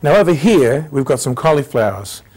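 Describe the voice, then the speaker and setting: A man in the middle of a cooking demonstration, explaining each step as he works. His voice sits at an ordinary male pitch, and he lists ingredients and measures in an easy, friendly way. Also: big pitch swings, normal speed, background noise. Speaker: cooking show host.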